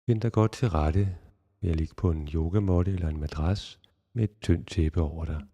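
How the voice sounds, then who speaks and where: A man guiding a relaxation meditation in a calm, measured, instructional way with long pauses. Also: sits low, breathy edge, dull audio. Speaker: yoga nidra guide.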